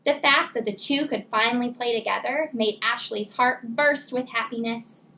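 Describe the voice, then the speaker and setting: A woman with a high-pitched voice reads a story aloud for children. Her delivery is expressive and deliberately phrased, in the style of a classroom teacher. A faint noise sits behind her voice.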